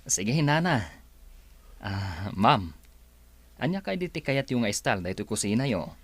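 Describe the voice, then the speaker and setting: A man's voice: fairly low pitch, sing-song, unhurried, fairly bright tone, breathy edge, no echo, full character voice. An actor with an Ilocano accent playing a confident, polite young handyman in a radio drama. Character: Ronnie, confident, respectful yet forward young handyman. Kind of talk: Filipino radio drama acting.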